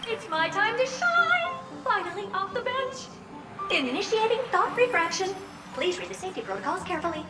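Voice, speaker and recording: A tiny, eager mechanical helper in a video game, extremely high-pitched and swooping about with showy excitement. The voice is echoey, with loud music behind. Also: female voice, moderate pace, distant mic. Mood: gleeful, showy. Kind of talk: video game story voice acting.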